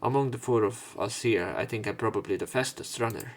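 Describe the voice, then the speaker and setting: A man's voice: mid-range voice, brisk. A man doing a let's play, reading a visual novel's narration and dialogue aloud with informal, sometimes sweary asides. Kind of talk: narrated reading with offhand commentary.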